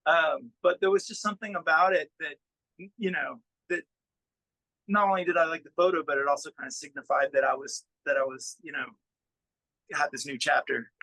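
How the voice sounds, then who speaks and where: A man with a bright, fairly high voice chats informally as a musician being interviewed. He is self-effacing and easygoing in his wording, and the sound is close and dry. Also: brisk, creaky, dry recording, poor audio. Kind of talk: casual interview chat.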